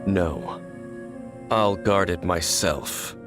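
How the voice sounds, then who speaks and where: An actor playing a grave, guarded warrior in an anime-style video game, speaking in a measured, formal way. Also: male voice, low voice. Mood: firm, guarded. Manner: measured, formal, serious, explanatory. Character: grave, guarded male warrior, knowing and solemn. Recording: studio voice over background music. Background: game music.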